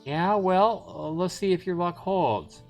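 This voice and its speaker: Man putting on a high-pitched, brusque older woman. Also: a little slow, a little mellow, soft background music. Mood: curt, weary. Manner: brusque, evasive. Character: curt, grumbling older woman, village aunt. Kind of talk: visual novel character reading.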